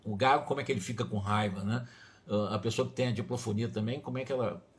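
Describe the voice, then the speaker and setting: A man's voice: fairly low pitch, quick pace. Brazilian man giving an emphatic religious lecture. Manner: didactic, emphatic, often repeats and rephrases with check tags. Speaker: spiritist lecturer. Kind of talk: religious study talk, reading passages and explaining them.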